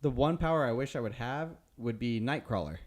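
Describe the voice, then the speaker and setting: A man's voice: medium pitch, a little fast. A man chatting casually as a panelist on a podcast. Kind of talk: casual chat.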